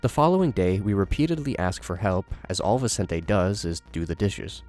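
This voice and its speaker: A man with a low voice narrates and comments on a horror series from a script. Faint music runs behind his delivery. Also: expressive, moderate pace, a little mellow.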